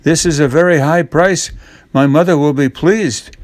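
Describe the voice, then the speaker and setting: A man's voice: fairly high pitch, normal speed, no room sound, pitched above the performer's own voice, natural voice. A man voicing an earnest, hopeful poor young boy in a children's storybook. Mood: proud, excited.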